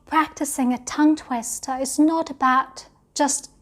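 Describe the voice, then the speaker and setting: Casting: high-pitched woman, non-native English teacher with an acquired British accent, measured teaching delivery. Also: fairly even intonation. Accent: learned British English. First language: not English. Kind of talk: scripted teaching talk to camera.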